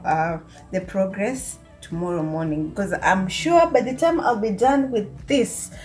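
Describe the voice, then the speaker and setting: A woman's voice: medium pitch, a little slow, a little mellow, faint music behind. A woman in her twenties with a Kenyan accent, chatting casually and playfully to a handheld camera in her small apartment. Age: 20s. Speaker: lifestyle vlogger. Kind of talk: casual vlog chat, mixing English with Swahili. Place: small tiled studio apartment. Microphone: phone or camera at arm's length.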